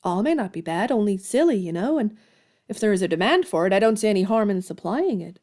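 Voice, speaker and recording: A woman's voice: medium pitch, very animated, quick pace, a little mellow, natural voice. An actress playing a proud, earnest young woman writer in a period audio drama, speaking formally and politely. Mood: defensive.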